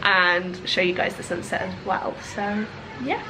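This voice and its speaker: A woman's voice: lowish voice, measured pace, noisy background. A woman with a cold, sounding nasal and congested, chatting casually to camera about her holiday. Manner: chatty, rambling with fillers and laughs. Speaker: vlogger. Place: holiday room indoors, then a windy beach outdoors.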